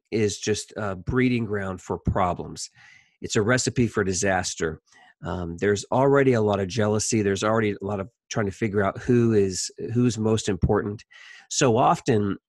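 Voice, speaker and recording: Low-voiced man telling Bible stories informally. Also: dry recording. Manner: conversational, thinking aloud, acting out imagined dialogue. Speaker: Bible story teacher. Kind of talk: informal Bible storytelling with commentary.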